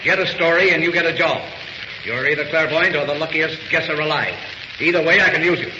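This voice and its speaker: A man performs a brusque, excitable newspaper boss from golden-age radio. His voice sits fairly high and he blusters with gruff impatience. The old recording is muffled and reverberant, with clear noise throughout. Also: brisk, lightly acted. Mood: grudging. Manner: gruff, impatient, blunt, exclamatory. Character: brusque, excitable, blustering male big-city newspaper editor. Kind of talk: 1940s radio drama acting.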